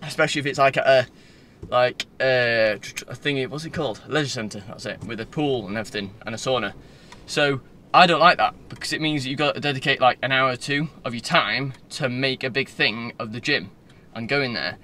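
Bright-voiced young Northern English man chatting. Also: mid-range voice, a little fast. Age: 20s. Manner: informal, loose, digressive. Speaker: vlogger. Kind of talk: casual rambling vlog chat. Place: inside a parked car. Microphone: phone at arm's length. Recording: faint car-interior background noise.

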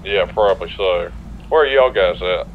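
A man's voice: deep voice, normal speed, narrow, tinny audio. A man in a police role-play game, chatting in an informal, folksy way as he checks in with other players. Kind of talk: casual radio-style chat in game. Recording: background vehicle noise.

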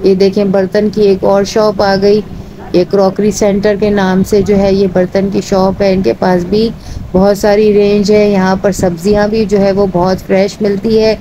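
A woman's voice: mid-range voice, moderate pace, a little hiss or hum, rough recording. A woman with a Pakistani Urdu accent, narrating a market tour and listing goods and prices one by one. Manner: steady, listing items one by one. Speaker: vlogger. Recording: music bed underneath.

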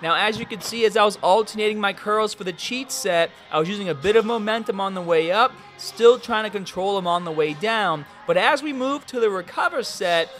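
A young man giving workout instructions as a fitness coach, energetic and coaching in tone. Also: high voice, a little fast, few pauses, faint music behind. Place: large gym, indoors.

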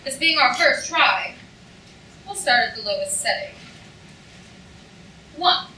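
A woman playing a polite, sadistic torturer count, deliberate and clinical, in a staged reading of a comic play. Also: on the high side, expressive. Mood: clinically menacing. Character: courteous, sadistic torturer count, coolly scholarly. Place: auditorium stage, recorded from the audience. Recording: echoey hall, distant, noisy audience room.

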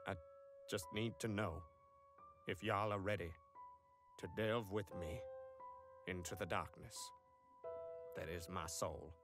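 A man voicing a gruff, brash big man with slangy, melodramatic delivery in a comedic cartoon parody. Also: deep voice, fairly even intonation, takes it slowly, long pauses, over music. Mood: brooding, melodramatic. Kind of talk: comedic cartoon dub acting.

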